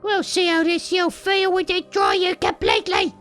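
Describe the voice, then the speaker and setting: A bitter old townsman, sneering at a stranger. The voice is pushed very high and shrill. Faint music runs underneath. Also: steady tone, normal speed, a bit bright. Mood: scornful. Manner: scornful, sneering.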